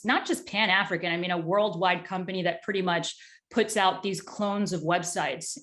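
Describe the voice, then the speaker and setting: Candid, excitable woman answering interview questions. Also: lowish voice. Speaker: tech startup executive, interview guest.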